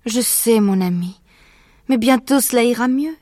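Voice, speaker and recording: Storybook mother fox, male reader in falsetto: high, thin, pleading, anxious and devoted, dry sound. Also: a little slow, a little mellow, dry recording. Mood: tender, soothing. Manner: trembling, pleading.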